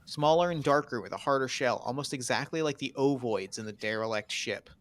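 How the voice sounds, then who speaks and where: A man's voice: medium pitch, brisk. A man narrating screenplay stage directions in dramatic, clipped phrases for a table read.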